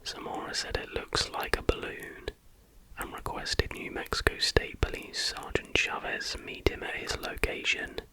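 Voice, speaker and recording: A man whispers softly and without hurry in an informal ASMR narration, as the host of the video. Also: poor audio.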